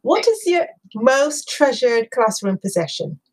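A woman hosting an interview podcast, encouraging and quick to laugh. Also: a little high, sing-song, darkish tone, rough recording. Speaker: podcast host.

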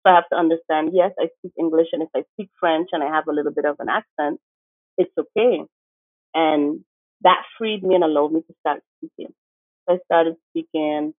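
A woman's voice: deep voice, steady tone, normal speed, no echo. Earnest encouraging woman from st martin, teacher, tinny phone-ish call audio, dry. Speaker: guest, teacher. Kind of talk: conversational interview.

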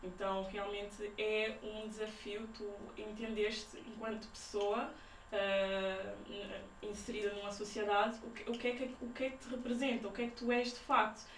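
A woman's voice: mid-range voice, little pitch movement, normal speed. A young woman with a Lisbon-area Portuguese accent, talking informally as a podcast guest and thinking aloud in broken phrases. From Portugal, Sintra area. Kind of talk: casual conversational interview. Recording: roomy, distant mic, faint noise.

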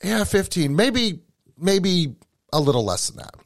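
Animated New England man, slightly high. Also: unhurried, gravelly, no room sound, studio quality. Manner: animated, repeats words when starting a thought. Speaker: podcast host. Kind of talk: casual podcast chat.

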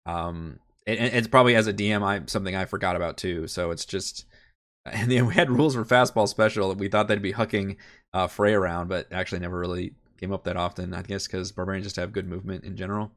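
A man's voice: low voice, steady tone, fast, a bit bright. A man with a head cold and a nasal, congested voice, chatting loosely as a game master on a livestream and thinking aloud with many fillers and restarts. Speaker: game master and channel host. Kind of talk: casual livestream Q&A.

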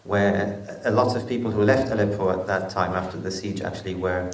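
A man from Syria speaking as a panel guest, soft-spoken and halting, with many ums, still shaken. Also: low-pitched. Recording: roomy hall from far off.

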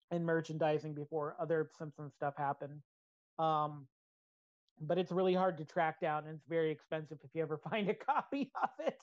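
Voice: medium pitch, no echo, dull audio. A podcast co-host chatting casually about pop culture, explaining details with enthusiasm.

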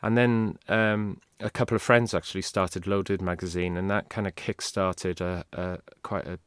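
Low-voiced man, rambling. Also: steady tone, normal speed, clear, resonant tone, dry recording, studio quality. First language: Welsh. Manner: rambling, restarts, candid. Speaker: guest. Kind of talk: casual interview talk.

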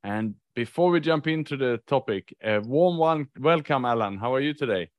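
A male podcast host who welcomes his guest and asks friendly, conversational questions. His voice is dry and close to the mic, with no room echo. It sits at an average pitch for a man. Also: animated, moderate pace, lo-fi sound. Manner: friendly, scripted introductions, then conversational questions. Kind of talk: hosting an interview podcast.

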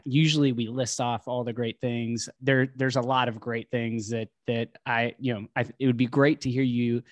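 A man's voice: medium pitch, no room sound. A man hosting a podcast, chatting casually and sounding friendly, often restarting his phrases. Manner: friendly, filler-heavy, frequent restarts. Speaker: podcast host.

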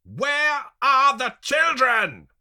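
Storybook torturer, male, performed by a man: brutal, barking shouts, pitched high and forceful. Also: sing-song, drawn-out words, full character voice. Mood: furious.

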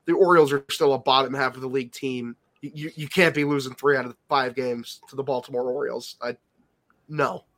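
A man's voice: medium pitch, steady tone, a little fast, a bit bright. A congested man co-hosting a casual sports podcast, rambling with plenty of filler words. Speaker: podcast co-host.

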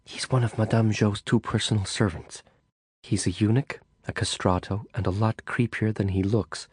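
A man's voice: medium pitch, expressive, unhurried, no echo. A man doing a blunt, cynical woman. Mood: hushed. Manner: terse, muttering, hushed.

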